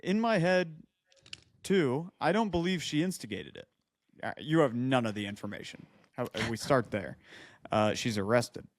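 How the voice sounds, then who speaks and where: A man's voice: mid-range voice, expressive, normal speed, dry recording, studio quality. A man co-hosting a casual comedy podcast, bantering and reading aloud, breaking off into quips and asides. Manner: interrupts his own reading with quips and asides. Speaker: podcast co-host.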